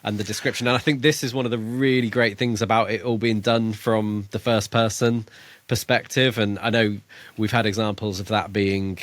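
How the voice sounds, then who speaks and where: A man's voice: on the low side, fairly even intonation, moderate pace. A man co-hosting a podcast, talking through a book in casual chat, hesitant and musing, now and then acting out lines with a laugh. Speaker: podcast co-host.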